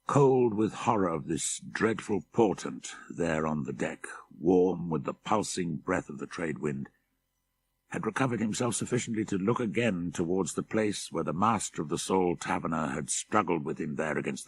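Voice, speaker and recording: Deep, gravelly male horror narrator. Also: expressive, measured pace, a little hiss or hum. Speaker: audiobook narrator. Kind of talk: dramatic scripted narration of a horror story.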